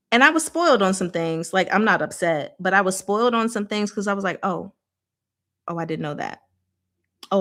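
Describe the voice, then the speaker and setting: A woman hosting a book discussion livestream, chatting casually with viewers in an animated, informal, playfully exasperated way. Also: lowish voice. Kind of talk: casual live chat with viewers.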